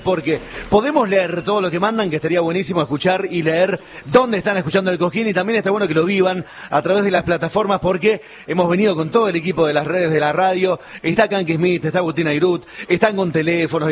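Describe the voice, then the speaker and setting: An Argentine man hosting a live radio broadcast with commentary from a festival. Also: sits high. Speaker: radio host. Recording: dull broadcast sound with clear noise.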